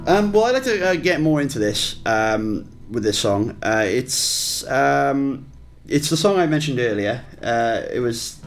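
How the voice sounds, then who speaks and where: A young man with a Welsh accent chats at a medium pitch, wandering through his story with plenty of ums and false starts. Soft music plays faintly behind him. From Wales. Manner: rambling, with many fillers and restarts. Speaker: guest musician. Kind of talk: casual interview chat.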